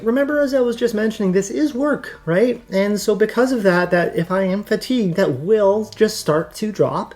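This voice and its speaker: A woman's voice: medium pitch, a little mellow. A woman hosting a podcast, talking candidly and casually to her audience, her voice held up with a raised voice box. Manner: candid, chatty, laughs easily. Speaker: podcast host. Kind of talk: casual monologue answering viewer questions.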